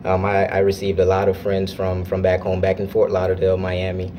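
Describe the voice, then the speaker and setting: A man's voice: sits low, completely flat delivery, darkish tone, a little hiss or hum. A young man from Florida, a student club officer, answering interview questions earnestly with frequent restarts. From United States (Florida). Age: young adult. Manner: earnest, halting with restarts and fillers.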